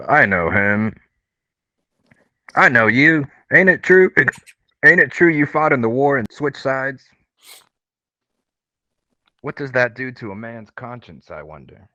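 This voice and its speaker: Belligerent Old West drunk, male actor reading: sharp-edged low voice, goading, lo-fi recording. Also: expressive, slow pace, long pauses, dry recording, full character voice. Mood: accusing. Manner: folksy, goading. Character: belligerent drunk Western saloon troublemaker.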